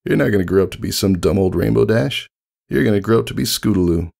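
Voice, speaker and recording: A man voicing a grown female pegasus flyer, brash yet affectionate and gently encouraging like a big sister, in a storybook reading. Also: fairly low pitch, fast talker, vocal fry, voice pushed up. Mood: encouraging, proud.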